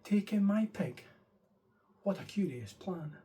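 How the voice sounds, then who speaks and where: Casting: fretful little old lady for a storybook, played by a man, high, plaintive, drawn-out delivery. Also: expressive, mellow. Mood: puzzled. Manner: plaintive, drawn-out pleading and exclaiming.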